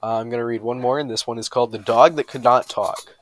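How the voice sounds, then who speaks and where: A man's voice: mid-range voice, steady tone, fast talker. A man reading humorous bedtime stories aloud with a mock-serious storytelling delivery.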